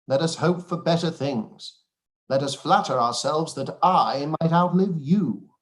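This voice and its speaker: A man playing a dry, unruffled husband in a period radio play, deadpan and deliberate. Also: on the high side, lightly acted. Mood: dry consolation. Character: dry, wry older English gentleman, unruffled husband.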